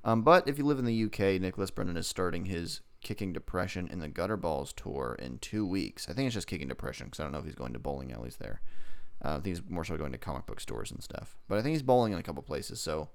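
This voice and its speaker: A man's voice: deep voice, sing-song, quick pace. A man co-hosting a casual podcast, joking and teasing as he rambles into asides about pop culture. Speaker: podcast co-host.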